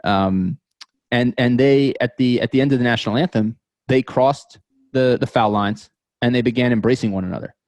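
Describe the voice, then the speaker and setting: An American man in his thirties telling personal stories as an interview guest, thoughtful and halting, often repeating words as he goes. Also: mid-range voice, darkish tone, no room sound. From New York metropolitan area, United States. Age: 30s. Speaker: podcast guest. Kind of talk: reflective interview storytelling.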